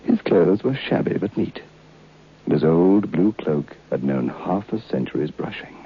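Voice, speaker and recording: An actor playing a haunted, curious former student who narrates a vintage radio horror tale in a deliberate, literary, brooding way. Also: male voice, sits low, lively intonation, breathy edge, exaggerated voice. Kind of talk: dramatic first-person horror narration. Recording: muffled, noisy old radio recording.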